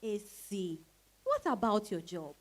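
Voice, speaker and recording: A woman's voice: medium pitch, big pitch swings, slow. An actress with a Ghanaian accent playing a realistic, frank woman friend in a dramatized reading, emphatic and sisterly in her chiding. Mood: chiding, knowing.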